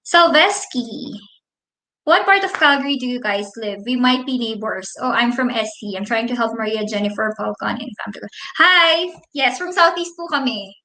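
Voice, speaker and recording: A woman's voice: on the high side, animated, normal speed, bright, forceful tone, reverberant, a little hiss or hum, poor audio. A woman in her thirties with a Filipino accent, hosting a casual live question and answer stream and reading viewers' comments aloud. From Philippines. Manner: friendly, reads viewer comments aloud, explains at length. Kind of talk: casual live Q&A, switching between English and Tagalog.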